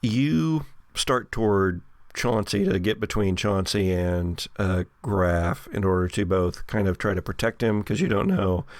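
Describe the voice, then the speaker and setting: A man's voice: lowish voice, a little slow, a little mellow, no room sound, clean studio sound. A man running a horror tabletop game, improvising vivid narration with conversational asides. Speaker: game master.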